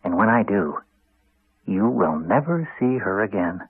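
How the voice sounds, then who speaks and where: An actor playing a cold, contemptuous husband with a Canadian accent, speaking in a deliberate, cutting, condescending way in a radio drama. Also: male voice, fairly low pitch, lively intonation, breathy edge, dry recording, exaggerated voice. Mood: threatening, smug. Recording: dull, band-limited 1980s radio recording.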